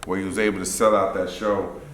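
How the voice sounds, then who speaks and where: A man with a low voice talks to an audience after a staged reading, rambling loosely and trailing into fillers. The sound bounces around a reverberant room with a faint hum behind it. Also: level tone, brisk, fairly bright tone. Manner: rambling, chatty, self-aware about being long-winded. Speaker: producer. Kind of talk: casual post-show talkback remarks. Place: theater event space, indoors. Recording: echoey room, faint background noise.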